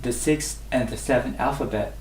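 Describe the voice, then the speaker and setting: A man's voice: medium pitch, moderate pace, reverberant, slight background noise, low-quality recording. A man whose first language is Burmese, teaching a language lesson and patiently repeating each sound three times. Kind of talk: slow instructional lesson.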